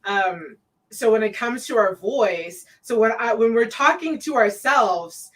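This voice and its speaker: A woman co-hosting a casual live stream, relaxed and loose with plenty of fillers, reading out viewer comments. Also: measured pace, recorded from a distance. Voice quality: says her own voice sounds deep to herself.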